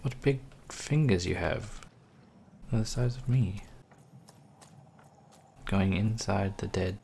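A man's voice: sits low, slow, creaky, warm tone, slight background noise. A man casually commenting while playing a game, joking and muttering reactions to the screen. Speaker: gameplay commentator. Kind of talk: casual let's-play commentary.

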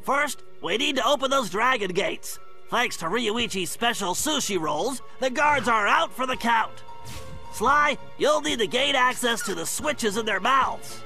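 A man's voice: very high-pitched, expressive, measured pace, bright, forceful tone, faint music behind, exaggerated voice. A man voicing a brainy, nerdy turtle mastermind in a video game, with a nasal voice and a precise, technical way of briefing. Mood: urgent, commanding. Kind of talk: animated video game voice acting, mission briefing.

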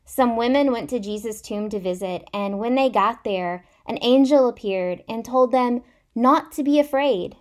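A woman in her thirties telling a Bible story in simple, measured phrases, recorded in a room at home. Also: fairly high pitch. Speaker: church storyteller. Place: small indoor room at home. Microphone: laptop or webcam at arm's length.

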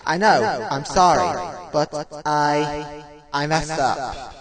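A man voicing a sad, guilt-ridden young male animatronic clown, hesitant and halting, in an emotional comic dub. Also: fairly high pitch, crowd noise. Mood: downcast, apologetic.

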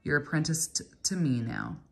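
A woman voicing a gruff, short-tempered grown man who bellows orders in a storybook reading. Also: low voice, wildly swooping pitch, moderate pace, darkish tone, heavily acted. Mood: curt. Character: gruff ill-tempered grown man, stone-cutter master.

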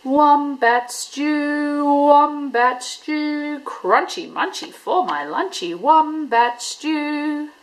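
A woman's voice: sing-song, very slow, hardly any pauses, fairly bright tone, exaggerated voice. A woman voicing a sly, hungry male dingo who chants in a sing-song way. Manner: sing-song, sudden high squeals.

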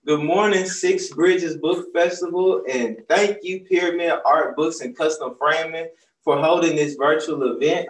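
Masked man speaking from across a room. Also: on the high side. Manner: relaxed, conversational, speaks through a face mask. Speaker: children's book author presenting his book. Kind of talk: casual storytime talk to kids. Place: bookstore, seated on a stool among shelves. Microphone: far camera. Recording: roomy distant sound on a video call.

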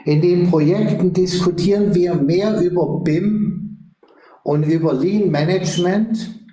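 A man in his sixties giving a measured, reflective keynote as an architect over a video call. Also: high-pitched, dark tone. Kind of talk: online keynote talk. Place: indoor room, video call. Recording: echoey room on video call.

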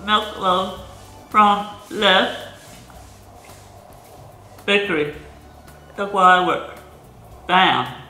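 A woman's voice: medium pitch, drawn-out words, pauses often, pushed and bright. A young woman hosting a home cooking show in a cabin kitchen, explaining each step in short, simple sentences. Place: cabin kitchen with wooden walls, indoors. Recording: roomy with music behind.

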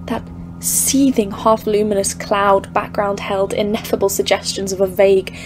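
A woman's voice: medium pitch, flat delivery, moderate pace, over music, natural voice. A woman acting in an audio drama as a haunted expedition leader of thirty-four, grave and literary, foreboding in tone. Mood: awed, dread. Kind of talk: scripted audio-drama narration and dialogue. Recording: clearly noisy, ambient background.

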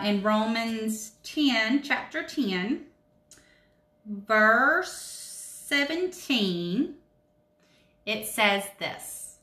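A woman's voice: medium pitch, sharp tone, roomy sound. A woman in her thirties reading a picture book aloud to children, explaining carefully and putting questions to her listeners. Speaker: children's story-time reader. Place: indoors in a home room with wood cabinets and brick wall.

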